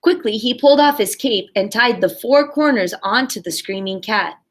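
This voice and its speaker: Woman, schoolteacher, high voice, expressive story reading, simple encouraging talk to children. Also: normal speed. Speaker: primary school teacher. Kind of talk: expressive read-aloud and talk to young children.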